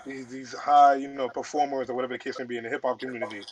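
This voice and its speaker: A man's voice: mid-range voice, level tone, very fast. A man co-hosting a radio show in casual chat, chiming in with agreement and repeating his phrases.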